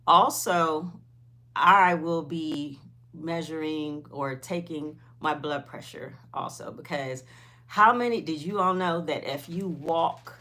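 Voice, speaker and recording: A woman in her fifties hosts a casual livestream. Her voice sits a little low and she takes her time. She talks informally to viewers as they come in, going back over what she has said. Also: takes it slowly, pushed and bright. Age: 50s. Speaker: host of a weight loss livestream.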